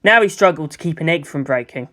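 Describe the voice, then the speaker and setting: A man's voice: on the high side, expressive, quick pace, pushed and bright. A man reading scripted fiction aloud as a storyteller, in the style of an audiobook narrator.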